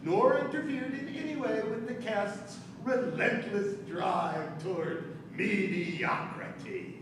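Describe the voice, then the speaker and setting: A man's voice: high voice, slow pace. A man on a community theater stage, acting a pompous, scornful god of mockery. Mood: scornful, mocking. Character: sardonic, pompous male god of mockery and criticism. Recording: echoey stage from far off, noisy.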